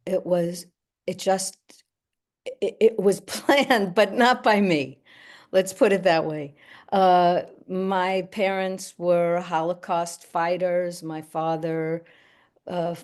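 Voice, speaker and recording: Older woman guest, Yiddish first language, low-ish, unhurried, halting and reflective. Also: darkish tone. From United States. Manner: thoughtful, halting, with frequent restarts. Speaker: actress and guest. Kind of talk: reflective Q&A answer.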